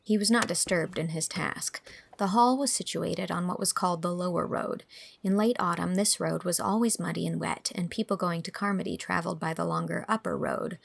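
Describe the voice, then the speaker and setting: A woman's voice: lowish voice, gravelly. A woman reading scripted narration for a classic storybook.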